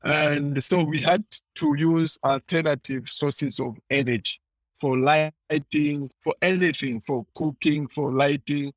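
A man's voice: fairly high pitch, steady tone, slow, no room sound. A man from eastern DR Congo with a Congolese accent, telling his story conversationally as a podcast guest, explaining and rephrasing as he goes. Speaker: interview guest, clean energy entrepreneur.

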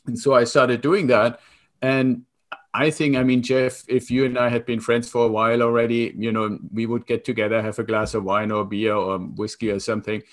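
A man in his fifties from Germany with a German accent, a guest recounting his career in a relaxed, reflective way. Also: mid-range voice, normal speed. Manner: relaxed, reflective storytelling with frequent fillers. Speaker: guest, consultant and coach. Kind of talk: conversational interview.

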